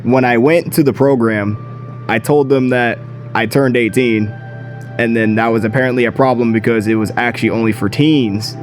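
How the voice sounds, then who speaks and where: A man's voice: medium pitch, steady tone, moderate pace. A young man in his late teens telling a story in a chatty, slangy, self-deprecating way. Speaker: video creator. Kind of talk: casual storytime vlog. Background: music.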